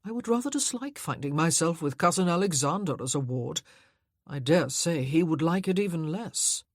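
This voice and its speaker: A woman's voice: sits low, expressive, moderate pace, dry recording, clean studio sound. Woman doing a languid, ironic duke. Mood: dry.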